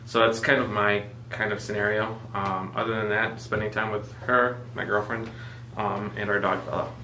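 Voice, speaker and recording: A man in his twenties from Mexico, co-hosting a casual vlog chat, relaxed and full of fillers. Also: fairly low pitch, moderate pace, reverberant, distant mic, slight background noise, poor audio. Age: 20s. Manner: relaxed, filler-heavy, joking. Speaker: vlogger co-host.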